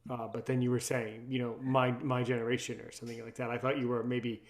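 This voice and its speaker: Male host, about thirty, mid-pitched voice, chatty and eager, doubles up words as he talks. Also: little pitch movement, quick pace. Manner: eager, repeats words for emphasis. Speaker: interview show host. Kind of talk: casual interview chat.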